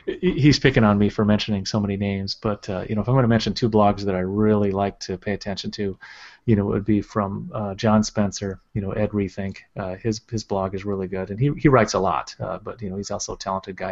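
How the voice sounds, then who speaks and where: Steady mild-mannered man, lo-fi sound. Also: on the low side, brisk, vocal fry. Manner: steady, filler-heavy with many "you know"s. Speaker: school administrator. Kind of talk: casual interview talk. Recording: dull lo-fi sound.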